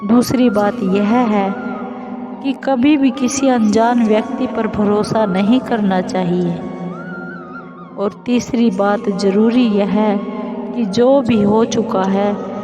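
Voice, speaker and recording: Crafty wise bird for a moral storybook female narrator acting it, measured, very slow delivery over music. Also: medium pitch, fairly even intonation, natural voice. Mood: calm, instructive. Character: small clever bird, wise and crafty, advising a king.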